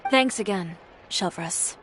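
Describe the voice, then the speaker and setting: A woman's voice: mid-range voice, slow pace, a bit bright, a faint crowd, a little hiss or hum, low-quality recording. A woman playing a cool, self-assured young fashion designer in a video game, speaking in a wry, composed way. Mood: wry, confident.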